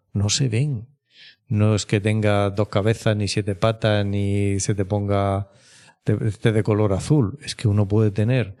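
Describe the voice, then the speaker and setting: A man with an accent from Spain, an expert guest explaining in a measured, reflective way and often checking with short tag questions. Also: on the low side, dark tone. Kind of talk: explanatory interview talk.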